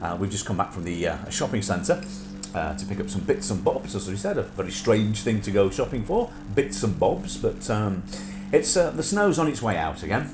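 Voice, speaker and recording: A middle-aged man with a slightly nasal voice hosts a relaxed livestream chat, his pitch swinging up and down all the time. He rambles along with lots of small hesitations. His voice rings in an echoey room with music playing clearly behind. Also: moderate pace. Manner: rambling with frequent filler hesitations. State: nasal from sinusitis. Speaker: host.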